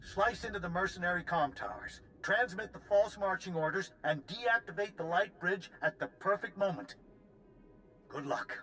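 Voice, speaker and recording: An actor voicing an earnest official in a video game, giving formal, grave and urgent mission briefings. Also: male voice, sits high, normal speed, bright, forceful tone, a little hiss or hum, low-quality recording. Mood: urgent resolve. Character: earnest male resistance official briefing an agent.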